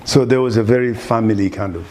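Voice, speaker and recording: Older East African man, low voice. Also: fast talker. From Uganda, grew up partly in Mombasa, Kenya. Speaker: artist being interviewed. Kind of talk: casual reminiscing interview. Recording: busy chatter in the background. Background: crowd chatter.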